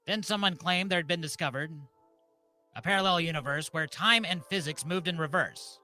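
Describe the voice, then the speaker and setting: A man hosting a comedy ceremony like a mock-solemn preacher, deadpan, speaking in deliberate short phrases. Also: a little high, soft background music. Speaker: host and mock officiant of a comedy live show.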